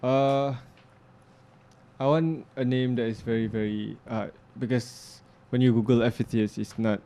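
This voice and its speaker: A man's voice: medium pitch, steady tone, measured pace, dark tone. A young man speaking as an interview guest, reflective and earnest, often restarting his sentences. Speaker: interview guest, filmmaker and music producer. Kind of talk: casual interview talk.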